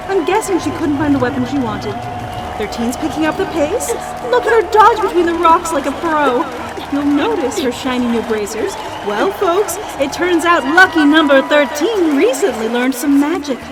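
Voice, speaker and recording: Gleeful high-voiced actress as an arena announcer. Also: female voice, lively intonation, moderate pace. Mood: gleeful hype. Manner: showy, gleeful, theatrical. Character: gleeful, bloodthirsty female arena announcer, showy host of death games. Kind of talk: excited fight commentary. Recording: loud music and crowd behind.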